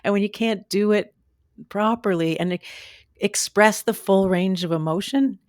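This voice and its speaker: A woman podcast host whose voice is limited and strained, speaking in a thoughtful, halting way with many restarts. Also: medium pitch, no room sound, studio quality. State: voice limited and strained after surgery. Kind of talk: conversational interview.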